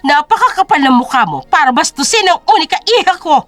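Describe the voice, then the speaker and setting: A woman's voice: high voice, lively intonation, fairly quick, fairly bright tone, soft background music. A woman voicing a domineering older rich mother-in-law in an audio drama, giving imperious, scornful commands. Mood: outraged.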